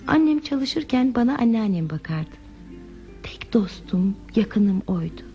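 An actress playing a lonely, withdrawn woman in a radio play, confiding her sad past in a hesitant, soft, reflective way. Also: a little high, very animated, unhurried. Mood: melancholy, confiding. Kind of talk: Turkish radio theatre acting. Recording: muffled lo-fi radio drama with music.

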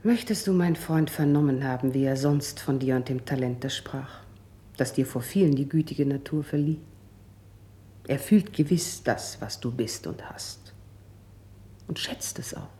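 A shrewd, soothing noblewoman in classic radio drama. Her voice is low and her pace unhurried. She delivers formal verse with poise, choosing each word to persuade. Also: mellow. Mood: soothing, persuasive.

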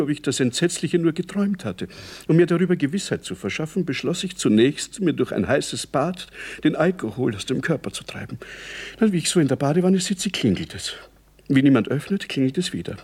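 Hapless, husky man, theatrically agitated. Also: a little high, lively intonation, brisk, darkish tone. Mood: agitated recounting. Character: hapless, agitated, newly engaged man with a hangover. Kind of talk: German radio play acting.

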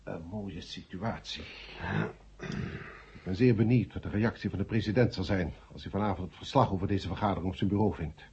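An actor playing a stern, formal male chairman with a pompous, measured manner in a radio drama. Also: deep voice, darkish tone, slightly rough. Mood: grimly wry. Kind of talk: 1970 Dutch radio drama acting. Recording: muffled old 1970 radio recording.

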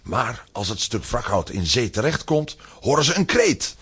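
A man's voice: mid-range voice, big pitch swings, normal speed, fairly bright tone, breathy edge, exaggerated voice. A man narrating a scripted children's audio drama as the storyteller.

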